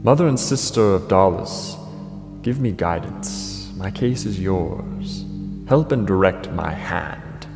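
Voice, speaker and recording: An actor playing a vengeful king tormented by rage in a classical tragedy, declaiming gravely and theatrically. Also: deep voice, animated, slow, heavily acted. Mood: brooding, vengeful. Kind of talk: classical tragedy monologue, declaimed audio drama. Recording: long hall reverb, music underneath. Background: dramatic music.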